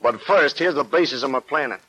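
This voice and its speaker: A man's voice: mid-range voice, brisk, bright, forceful tone, slightly rough. An actor playing a cocky, ruthless gangster boss in 1940s radio style, boasting in tough-guy slang. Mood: menacing, boastful. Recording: noisy vintage 1940s radio recording.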